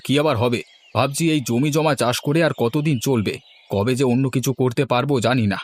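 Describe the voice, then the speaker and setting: Man playing cartoon village farmer husband, husky, brooding, fed up with his lot, music behind. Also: mid-range voice, normal speed, natural voice. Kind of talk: cartoon dialogue acting.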